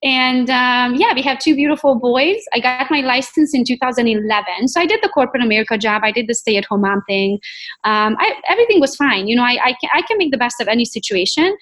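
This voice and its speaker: A woman's voice: a little high, expressive, fast, a bit bright. A woman in her thirties with a Romanian accent, telling her personal story in a chatty, candid way as an interview guest. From Transylvania, Romania. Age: 30s. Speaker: podcast guest, realtor.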